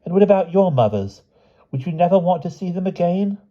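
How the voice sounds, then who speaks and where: A man's voice: sits high, big pitch swings, a little fast, muffled recording, voice pushed up. A man voicing an earnest schoolgirl in a storybook, pleading urgently and persuasively. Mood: anxious pleading.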